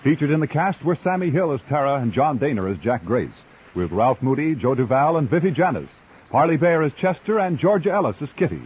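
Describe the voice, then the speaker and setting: A man's voice: a little high, big pitch swings, fairly quick, darkish tone, slight background noise. An American man reading scripted credits as a 1950s radio announcer. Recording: muffled 1950s radio recording.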